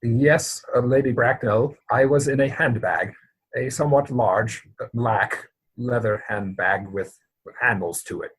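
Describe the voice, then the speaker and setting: A man playing an earnest, anxious young gentleman suitor in a theatrical comedy reading, speaking with formal, hesitant politeness. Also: mid-range voice, unhurried, slightly rough, rough recording. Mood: sheepish.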